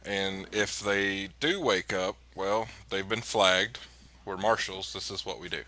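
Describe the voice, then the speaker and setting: A man at a tabletop game with a very low voice and a bright, forceful edge. He talks hesitantly and often repeats himself. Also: a little slow, no echo. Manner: hesitant, repeats his words. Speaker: player.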